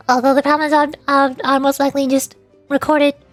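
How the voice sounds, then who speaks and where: A woman's voice: sits high, level tone, a little fast, dry recording, faint music behind. A woman streaming from home on a USB desk mic, chatting in a rambling, self-deprecating way. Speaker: VTuber streamer. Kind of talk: casual stream chat while voice acting over a game. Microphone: USB desktop microphone.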